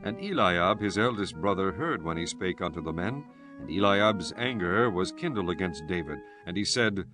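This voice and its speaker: Low-voiced man narrating scripture with animated range. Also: music in the background. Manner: solemn, measured storytelling.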